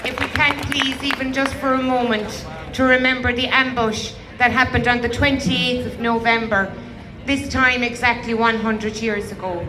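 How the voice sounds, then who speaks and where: A woman giving a slow, measured, ceremonial reading and address at an outdoor rally. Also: fairly high pitch, level tone, sharp tone, a faint crowd. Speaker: rally speaker. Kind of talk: solemn public reading and address. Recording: roomy outdoor sound with faint noise.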